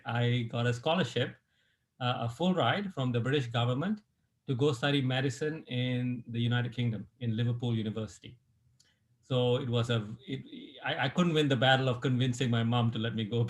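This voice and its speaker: Middle-aged man telling his story, relaxed. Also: mid-range voice. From Malaysia. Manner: relaxed, many fillers and restarts. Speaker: sports medicine physician, guest. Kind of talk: conversational interview storytelling.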